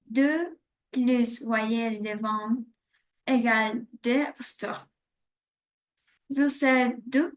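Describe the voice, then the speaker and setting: A woman giving a scripted French grammar explanation with measured example sentences. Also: fairly high pitch. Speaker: French teacher.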